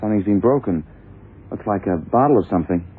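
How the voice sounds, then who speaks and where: A man's voice: fairly low pitch, expressive, fast talker, slight background noise, close to an everyday voice. An actor playing a blind detective's plain-spoken assistant in an old radio drama, casually reporting what he sees. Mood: matter-of-fact. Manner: casual, observant, matter-of-fact. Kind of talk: 1970s radio drama acting. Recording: narrow old radio recording.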